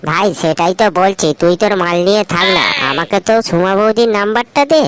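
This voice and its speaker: A woman's voice: medium pitch, animated, fast, noisy background, close to an everyday voice. A woman voicing a jealous, sly teenage schoolboy in a comedy cartoon, pestering and grumbling.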